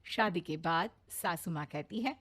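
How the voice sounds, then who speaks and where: A woman's voice: on the low side, unhurried, fairly bright tone, husky. A woman narrating a scripted cartoon story in a storytelling style.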